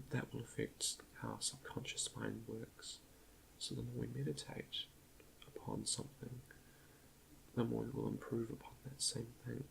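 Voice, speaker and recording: A man quietly leading an ASMR guided meditation in a soft half-whisper, gentle and a little hesitant, close to the microphone with chalk clicks behind him. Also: on the low side, a little hiss or hum, low-quality recording. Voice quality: soft, breathy half-whisper with creaky fry.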